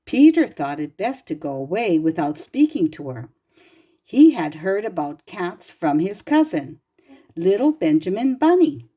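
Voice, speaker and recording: A woman reading a children's storybook aloud in an expressive, gentle and deliberate manner, as if to young listeners. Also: lowish voice, slightly rough. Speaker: storybook reader.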